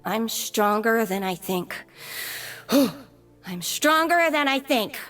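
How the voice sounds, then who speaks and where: A brash young troublemaker from a video game, with a high, bright voice. She is cheeky and theatrical, taking her time over lines that swing widely in pitch. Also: animated, takes it slowly, faint music behind. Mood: hopeful, reflective. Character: brash, playful young female troublemaker with explosive streak.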